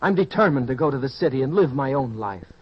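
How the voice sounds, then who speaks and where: An actor playing an ambitious, determined young farmer's son in an old-time radio drama, earnest and insistent. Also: male voice, mid-range voice, lively intonation, quick pace, slight background noise, close to an everyday voice. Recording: dull lo-fi radio recording.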